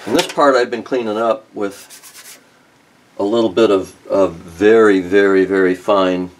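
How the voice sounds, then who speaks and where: Middle-aged guy at his workbench, lowish voice, lots of ums, bit of background hiss. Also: steady tone, unhurried, poor audio. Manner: thinks aloud with many ums and restarts. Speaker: car restoration vlogger. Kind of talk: casual workshop narration. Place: home workshop at a workbench.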